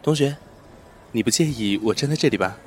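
A man playing a polite, reserved, bookish teenage schoolboy in an audio drama, courteous and hesitant with quiet exasperation. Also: mid-range voice, animated, fairly quick, faint music behind, close to an everyday voice. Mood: polite, puzzled. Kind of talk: amateur Chinese audio drama acting.

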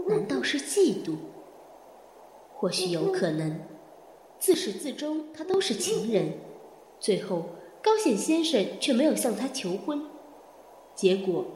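An echoing, reverb-soaked inner voice of a calculating woman played by an actress in an audio drama. She broods and questions deliberately, with animated swings of pitch and faint noise behind. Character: calculating, suspicious woman reasoning through a murder in thought. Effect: reverb marking inner thoughts.

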